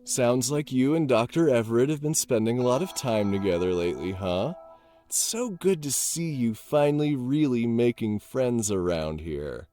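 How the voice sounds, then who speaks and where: A man's voice: medium pitch, expressive, moderate pace, music in the background, lightly acted. A man playing a prying, falsely friendly workplace boss in an audio drama, breezy and probing. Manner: breezy, probing, insincere politeness.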